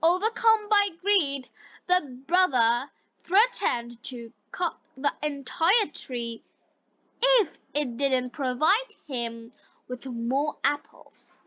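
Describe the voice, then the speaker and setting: A woman narrating slowly as an English teacher, reading scripted material for learners in a dull, lo-fi recording. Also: sits high, big pitch swings, sharp tone, no echo.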